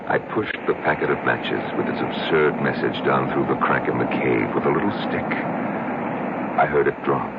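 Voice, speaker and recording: An actor playing a man who gravely recounts a dark secret in a halting, deliberate way, in radio drama style. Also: rumbling low. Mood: grave, haunted. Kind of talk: 1970s radio drama narration. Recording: muffled, very noisy old radio recording.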